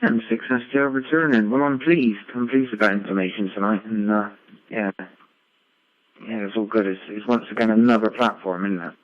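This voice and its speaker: A man chatting casually as an amateur radio operator, rambling along with frequent tag questions over a radio link. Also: moderate pace. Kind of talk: casual ham radio chat. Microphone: radio link played through a computer. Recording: muffled low-fi digital radio link.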